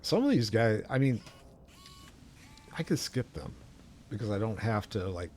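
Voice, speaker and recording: Low-voiced man casually narrating his gameplay. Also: big pitch swings, a little slow, a little mellow, faint music behind. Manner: rambling, thinking aloud. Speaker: livestream host playing a video game.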